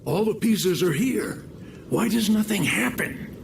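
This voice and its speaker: A man's voice: high-pitched, expressive, a little slow, creaky, a little hiss or hum, exaggerated voice. A man playing a grave warrior ally in a video game cutscene. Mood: troubled.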